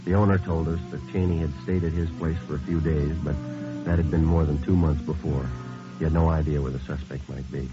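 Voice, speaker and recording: An actor playing a terse police sergeant who narrates his case in a deadpan, clipped way for a radio crime drama. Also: very deep voice, fairly quick. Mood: matter-of-fact. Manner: deadpan, matter-of-fact, clipped. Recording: muffled old radio recording with music.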